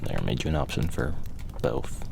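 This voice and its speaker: A soft-spoken man doing an ASMR roleplay in a casual, rambling, dryly joking way. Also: very deep voice, animated, moderate pace, creaky, background noise. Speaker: ASMR performer playing a furry fandom enrollment clerk.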